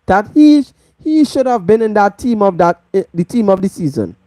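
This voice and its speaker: A woman's voice: mid-range voice, animated, moderate pace, dark tone, dry recording. A Trinidadian woman hosting a live football match review, stressing her points by repeating them. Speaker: football channel host.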